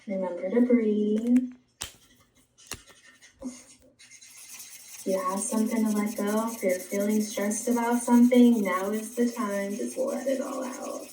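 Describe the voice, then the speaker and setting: Female art instructor, gentle and chatty, live-stream audio with room echo. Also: mid-range voice, steady tone, takes it slowly, long pauses, recorded from a distance, slight background noise. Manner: soft-spoken, chatty, answering viewers while drawing. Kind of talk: live online art class.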